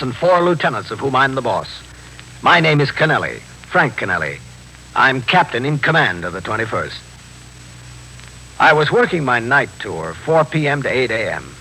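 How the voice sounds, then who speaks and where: A middle-aged police captain from a 1950s radio drama. His voice is bright and forceful, with a measured, authoritative, matter-of-fact delivery. There is some hiss and noise of an old broadcast recording. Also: medium pitch, low-quality recording. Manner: clipped, formal, matter-of-fact questioning.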